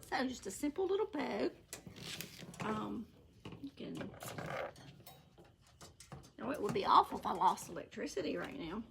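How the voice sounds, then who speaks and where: A middle-aged woman with a raspy voice and a Southern US accent, casually talking through a craft project while she works with her hands. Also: a little high, big pitch swings, moderate pace, long pauses, heavy background noise. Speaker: craft channel host. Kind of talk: casual live craft tutorial. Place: indoor home craft room.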